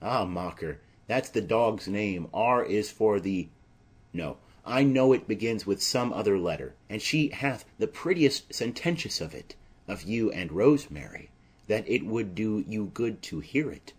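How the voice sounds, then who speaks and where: Audiobook drama: fussy, rambling older servant woman, indignant and exclaiming, slightly husky, unhurried delivery man performs. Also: lowish voice, lively intonation. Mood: chatty, fussy. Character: fussy, chattering older female nurse servant.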